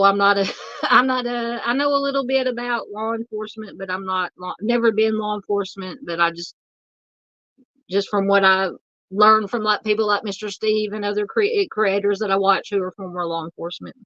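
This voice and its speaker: A woman with a Southern US accent hosting a true crime livestream, reading out viewer comments in a halting, filler-filled way, polite and a little hoarse from losing her voice. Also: medium pitch, steady tone, sharp tone, dry recording, highs cut off.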